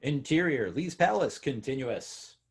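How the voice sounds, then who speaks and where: A man reads out scene headings at a script table read. His voice is medium in pitch and husky, announcing each short phrase as scripted. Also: very animated, measured pace. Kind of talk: scripted screenplay reading.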